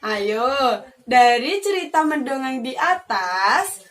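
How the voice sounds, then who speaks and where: A woman's voice: high-pitched, sing-song, takes it slowly, fairly bright tone. A young woman telling a children's story expressively, like a teacher. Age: young adult. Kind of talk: animated children's storytelling with questions.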